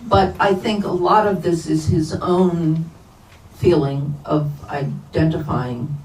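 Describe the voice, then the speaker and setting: Slow, halting older woman in an echoey room. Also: low-pitched, fairly even intonation, a little mellow. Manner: halting, thoughtful, pausing mid-sentence. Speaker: author answering audience questions. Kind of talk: unscripted question-and-answer talk. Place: live event in a bookstore. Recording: echoey room, distant mic, background noise.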